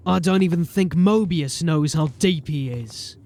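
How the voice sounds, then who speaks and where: A man acting a gruff, authoritative sergeant of a city watch in a fantasy audio drama, with clipped speech. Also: fairly high pitch, measured pace, a little mellow. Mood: brooding.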